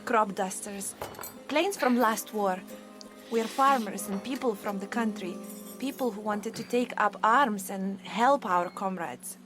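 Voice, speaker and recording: Woman acting as young Soviet female pilot, earnest, farm girl, mid pitch, audio drama. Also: measured pace, music in the background, close to an everyday voice. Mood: defiant.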